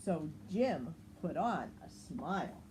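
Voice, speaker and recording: A woman's voice: low-pitched, very animated, slow, dark tone. An older woman, a grandmother, reading a children's picture book aloud, with noticeable background noise. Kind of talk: storybook read-aloud for children.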